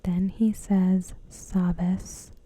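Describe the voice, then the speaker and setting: Whispering woman, slow and dark-toned ASMR. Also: lowish voice, flat delivery, slight background noise. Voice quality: soft, breathy whisper. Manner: gentle, measured, deliberate. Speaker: video host. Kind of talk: ASMR episode commentary.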